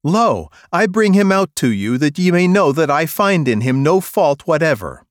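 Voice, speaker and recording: A man's voice: fairly high pitch, big pitch swings, normal speed, dry recording, studio quality, pitched above the performer's own voice. A man voicing the Roman governor Pilate in a Bible reading, speaking in a strained, raised, declaiming way. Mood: strained, imperious.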